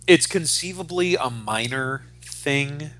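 A man's voice: fairly high pitch, expressive, slow pace, fairly bright tone. A man in his forties co-hosting a podcast, chatting casually and emphatically about pop culture, often repeating phrases as he goes. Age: 40s. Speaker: podcast co-host.

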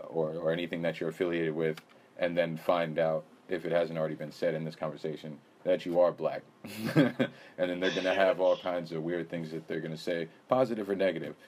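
A man's voice: deep voice, steady tone, fairly quick, a little mellow, slight background noise. A man hosting a podcast interview in a casual, rambling way, hedging and laughing often. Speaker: podcast host.

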